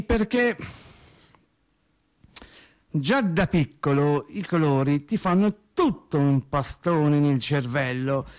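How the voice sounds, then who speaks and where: Radio monologue: agitated working man, higher-pitched, very unhurried, circling back over his words in a colloquial ramble. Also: darkish tone. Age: adult over thirty. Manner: halting, repetitive, colloquial storytelling with stammered restarts.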